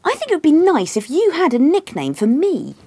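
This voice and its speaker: Woman acting a needy wife, wildly swooping pitch. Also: wildly swooping pitch, fairly quick, mellow, no echo, exaggerated voice. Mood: pleading. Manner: pleading, insistent, indignant. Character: needy, insistent, indignant wife pestering at bedtime.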